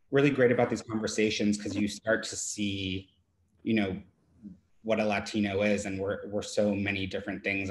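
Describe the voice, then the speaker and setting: A man from San Diego, a designer on a virtual panel, speaking reflectively and personally. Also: on the low side. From USA (San Diego).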